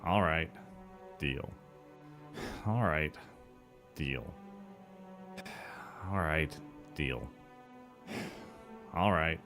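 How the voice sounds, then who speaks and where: A man voicing a gloomy, money-minded adult male cartoon character in a deadpan, unhurried delivery. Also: rumbling low, exaggerated voice. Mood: reluctant, agreeing. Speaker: voice actor. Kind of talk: animation voice-over takes, repeated lines.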